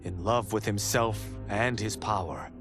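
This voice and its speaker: An actor playing a vain, self-assured martial arts hero turned teacher, narrating theatrically in a cocky way for a video game. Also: male voice, fairly low pitch, a little slow, music in the background, natural voice. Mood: self-important. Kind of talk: scripted dramatic game narration.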